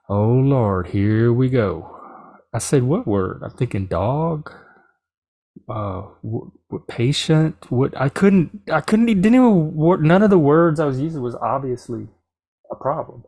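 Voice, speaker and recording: A middle-aged man from South Louisiana with a Cajun English accent, telling a personal story in a casual, animated way. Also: mid-range voice, dry recording, studio quality. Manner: animated, quoting others in reported dialogue. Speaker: podcast host.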